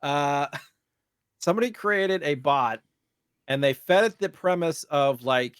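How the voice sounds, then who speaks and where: A man's voice: a little high, expressive, slow, a bit bright, clear, resonant tone, no room sound, clean studio sound. A man co-hosting a podcast, talking casually in loose, hesitant phrases padded with filler. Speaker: podcast co-host. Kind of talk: casual chat.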